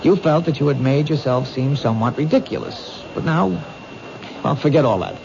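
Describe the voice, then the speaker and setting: A man's voice: medium pitch, normal speed, gravelly, lightly acted. A man acting in a radio mystery as an earnest police detective, questioning in a persuasive, probing way. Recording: dull old radio recording, noisy background.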